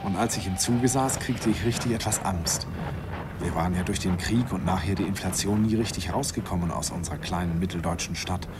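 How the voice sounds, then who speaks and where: A man's voice: sits low, brisk, lightly acted. An actor playing a middle-aged man who wistfully recalls his youth, telling it in the first person in an old radio play. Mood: wistful, amused. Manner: reflective storytelling. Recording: old radio recording, clear background noise.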